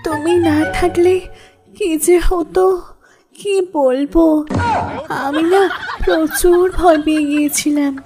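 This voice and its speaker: Coy young Bengali college girl, played by a woman, cartoon comedy, very high and unhurried, teasing, music underneath. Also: fairly even intonation, heavily acted. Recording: loud music behind the voice.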